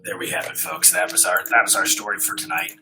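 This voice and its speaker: A man's voice: low voice, fairly even intonation, fast, bright, forceful tone, soft background music. A man hosting a livestream in a casual, informal, chatty way, talking appreciatively with his viewers. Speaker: livestream host and story reader.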